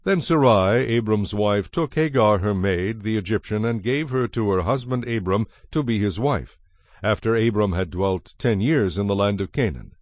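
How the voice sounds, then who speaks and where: A man giving a solemn, measured scripted narration of scripture in a dramatized style. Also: fairly low pitch, lively intonation, no echo. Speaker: Bible narrator. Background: music.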